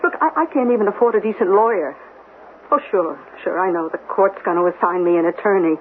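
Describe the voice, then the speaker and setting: A woman's voice: mid-range voice, fairly quick, natural voice. An actress playing a fiercely protective, middle-aged working-class mother, speaking emphatically in a 1970s radio drama. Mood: bitter, defiant. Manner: emphatic, insistent. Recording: tinny, noisy old radio broadcast.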